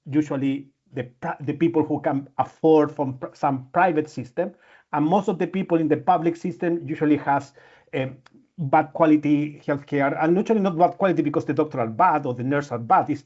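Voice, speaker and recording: A man's voice: on the high side, mellow. Man. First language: Spanish. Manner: earnest, frequent restarts and repeated words. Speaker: medical imaging researcher and physician giving a seminar. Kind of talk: lecture with slides. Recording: dull lo-fi audio.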